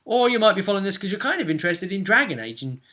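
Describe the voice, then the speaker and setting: Male game master, bright forward voice, animated and explanatory, casual recap delivery. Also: high voice, racing. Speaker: game master and show host. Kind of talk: casual recap of a tabletop roleplay session.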